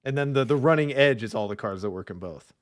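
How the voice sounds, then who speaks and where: A man hosting a podcast in casual chat, animated and playfully opinionated. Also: medium pitch, fast talker, no echo, studio quality. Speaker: podcast host.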